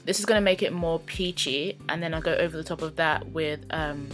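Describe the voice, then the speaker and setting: A woman's voice: low-pitched, fairly quick, soft background music. Chatty woman narrating a makeup tutorial. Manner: relaxed, chatty, with many ums and likes. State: croaky, just woken up. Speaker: beauty vlogger.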